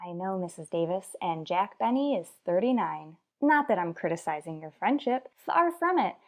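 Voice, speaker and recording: An actress plays a sardonic woman schoolteacher in a radio sitcom. Her pitch swings with lively expression as she lands dry, sarcastic wisecracks in an old-time comic style. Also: normal speed. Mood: dry teasing. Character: wry, sardonic woman high school English teacher.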